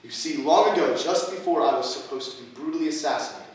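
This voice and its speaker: A man's voice: on the high side, quick pace, lightly acted. Man playing a pompous old cyborg archmage, echoey. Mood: smug, confiding. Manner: pompous, grandiose, theatrical declaiming. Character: pompous, ancient cyborg archmage villain, wispy and theatrical. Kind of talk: staged reading of a play. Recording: very echoey room, distant mic.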